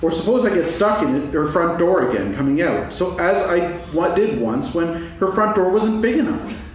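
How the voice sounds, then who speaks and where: A man playing a gentle, absent-minded, food-loving toy bear in a staged children's story reading, musing aloud in a singsong way. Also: fairly high pitch, animated, moderate pace, natural voice. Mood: dreamy musing. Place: stage, actors seated, live audience recording. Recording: echoey hall from far off, faint noise.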